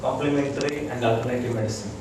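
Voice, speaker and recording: A man with an Indian accent gives an informal educational talk as a doctor, explaining in a halting way to a group. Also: medium pitch, fairly even intonation. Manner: halting, explanatory, checks listeners follow. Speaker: doctor giving a wellness lecture. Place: echoey room with a small audience. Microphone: distant from the speaker. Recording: very echoey room, distant mic, loud noise.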